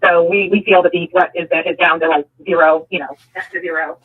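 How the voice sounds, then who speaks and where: A man's voice: on the high side, fairly even intonation, brisk, slightly rough. A man giving a casual spoken update, heard on muffled lo-fi replayed audio.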